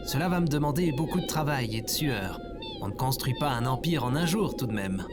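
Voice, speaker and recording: A man's voice: medium pitch, sing-song, a little fast, natural voice. A man playing a lazy, petty, self-proclaimed ruler of Earth in a sci-fi comedy audio drama, pompous, whiny and bossy. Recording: music under the voice.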